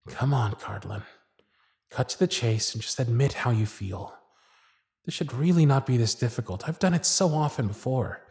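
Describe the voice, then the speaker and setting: A man playing a lovestruck, nervous circus performer in an intimate ASMR roleplay, speaking earnestly and haltingly. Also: medium pitch, a little mellow, no room sound, studio quality. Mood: nervous, hesitant. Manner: hesitant, breathy, earnest, halting delivery.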